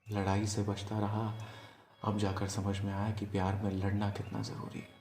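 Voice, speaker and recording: A man performing a poetic love monologue as a storyteller, in a wistful, deliberate manner with pauses. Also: on the low side, monotone, darkish tone, reverberant, slight background noise. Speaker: spoken-word storyteller. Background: music.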